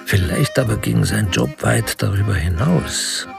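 A man with a creaky voice narrating a radio crime play in a literary storytelling style. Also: lowish voice, lively intonation, unhurried. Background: music.

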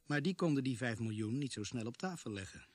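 An actor playing a sceptical, matter-of-fact investigator who narrates a Dutch radio drama in a measured, husky voice. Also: male voice, medium pitch, animated, a little mellow, dry recording, natural voice. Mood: grave, recounting.

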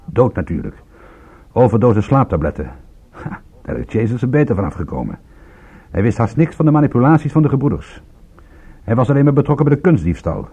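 An actor playing a sardonic, indignant private detective in a Dutch radio drama, with a theatrical delivery. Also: male voice, mid-range voice, sing-song, a little fast, long pauses, mellow, slightly rough. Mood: dry, explanatory. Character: sardonic, indignant male private detective, proud of his work.

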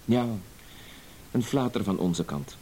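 An actor playing a cool, self-assured professional blackmailer in a radio drama, terse and matter-of-fact. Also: male voice, lowish voice, takes it slowly, mellow. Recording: hissy old radio play recording.

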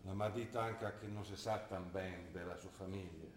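A man's voice: low-pitched, fairly even intonation, normal speed, roomy sound, a little hiss or hum, lightly acted. An actor playing a plain-spoken, worried provincial father in a stage drama, with a Trentino accent and hesitant, muttering delivery. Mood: wary, protective. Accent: Trentino, northern Italian. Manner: hesitant, grumbling, with short muttered replies. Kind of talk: naturalistic dialect stage acting.

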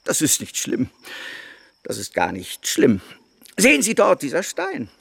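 Wildly swooping, lecherous missionary preacher. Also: male voice, on the high side, huge pitch swings, a little slow, vocal fry, a bit bright, husky, dry recording, full character voice. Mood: mild, philosophical. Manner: rambling, fawning, self-satisfied, with rhetorical questions. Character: eccentric, lecherous male missionary preacher living in the wilderness. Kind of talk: radio play dialogue.

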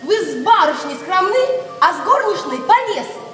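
Saucy young housemaid, high voice, sensible and teasing, verse radio comedy, roomy acoustic. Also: female voice, big pitch swings, normal speed, over music. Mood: saucy, dismissive. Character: pert, sensible young maidservant fending off advances. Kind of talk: classic Russian stage verse, radio play acting. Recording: roomy distant radio play, faint noise.

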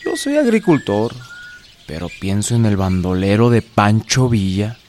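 A man's voice: lowish voice, big pitch swings, unhurried, darkish tone, slight background noise. An actor playing a respectable, uneasy farmer wary of his allies in a radio drama. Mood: worried.

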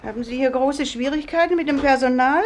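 A woman's voice: sits high, fairly quick, a little hiss or hum, close to an everyday voice. An actress playing an imperious, fault-finding older woman, stiffly formal and disapproving, in a 1950s German radio play.

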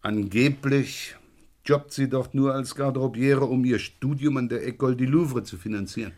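An actor playing a middle-aged police inspector in a German radio crime drama, gruff, probing and impatient. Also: male voice, mid-range voice, normal speed, darkish tone, close to an everyday voice. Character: brisk, probing French police inspector, the boss.

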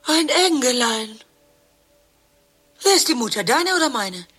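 A woman's voice: sits high, sing-song, a little slow, a bit bright, slightly rough, exaggerated voice. An actress playing a sardonic, exasperated divorced woman in a radio play, with dry mockery in her delivery. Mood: incredulous, mocking. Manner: sarcastic, dryly mocking. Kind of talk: German radio play dialogue and narration.

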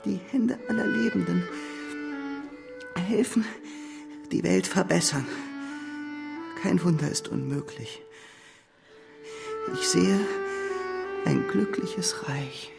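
An actor playing a frail, dying male idealist in a radio play, weak and breathy, with pleading visionary fervour. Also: high-pitched, takes it slowly, pauses often, over loud music, heavily acted. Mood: feverish, visionary. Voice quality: breathy, whispery, cracked. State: weak, gravely ill.

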